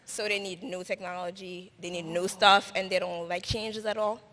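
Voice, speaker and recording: A woman's voice: on the low side, steady tone, normal speed, a bit bright. A woman whose first language is French, raised in Paris, speaking hesitantly with many fillers about her finance work on stage. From grew up in Paris, France. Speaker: finance professional, conference attendee. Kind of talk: on-stage conversation. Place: conference stage with audience. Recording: long hall reverb.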